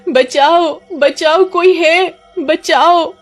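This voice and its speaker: A woman's voice: extremely high pitch, drawn-out words, faint music behind, voice pushed up, heavily acted. A woman voicing a young mermaid in a cartoon, fierce and distressed, with emphatic, melodramatic delivery. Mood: frightened. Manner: dramatic, emphatic.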